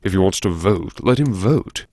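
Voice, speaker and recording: A man performing a first-person audiobook monologue as a brooding, wry character who anxiously lectures himself in a theatrical, musing way with dramatic pauses. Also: sits low, expressive, darkish tone, no room sound. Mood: bitter self-reassurance. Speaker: audiobook narrator.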